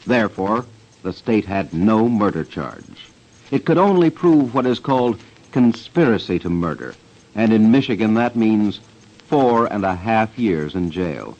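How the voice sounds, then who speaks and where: A man giving deliberate, emphatic scripted commentary for an old-time radio crime documentary. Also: on the low side, very animated, darkish tone. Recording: dull vintage radio recording.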